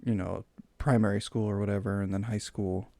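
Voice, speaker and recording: A young man chatting casually and relaxed with a friend on a podcast, laughing along often. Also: fairly low pitch, brisk, vocal fry, no echo. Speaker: podcast co-host. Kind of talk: casual chat.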